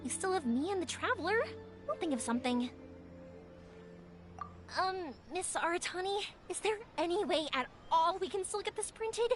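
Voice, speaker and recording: A woman playing an upbeat, kind big-sister type in a video game, young, energetic and encouraging. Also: extremely high pitch, normal speed, over music. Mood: determined, kind. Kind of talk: video game character dialogue.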